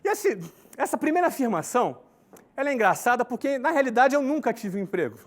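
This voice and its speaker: A man's voice: very high voice, quick pace. A man in his forties giving a conversational talk, often checking in with tag questions and listing points in an emphatic rhythm. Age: 40s. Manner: emphatic, lists steps in a lively rhythm. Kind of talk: recorded talk to an online audience.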